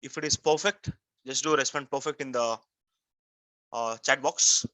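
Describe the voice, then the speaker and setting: Indian guy running an online class, sharp forceful voice, keeps asking students to respond, dry close sound. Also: medium pitch, fairly even intonation, measured pace, dry recording. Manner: repetitive, prompting listeners with frequent questions. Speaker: software testing instructor. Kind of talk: live online lecture, checking in with students.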